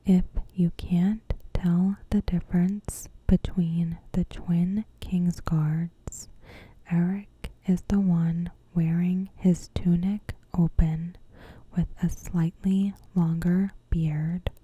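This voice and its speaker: A woman softly whispering a scripted recap in a gentle, measured, breathy ASMR style. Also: lowish voice, flat delivery. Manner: gentle, measured, careful phrasing. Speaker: ASMR host.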